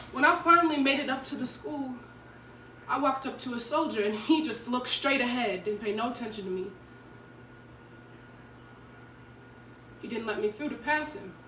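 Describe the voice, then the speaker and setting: Woman doing a nervous teen girl telling her story onstage, bright and emotive, mic far off. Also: a little high, normal speed. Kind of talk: dramatic monologue, first-person storytelling. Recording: echoey room, distant mic, faint noise.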